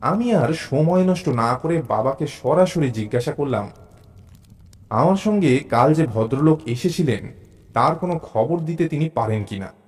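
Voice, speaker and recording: A man narrating a first-person suspense story in a measured, dramatic way. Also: a little high, lively intonation, a little mellow.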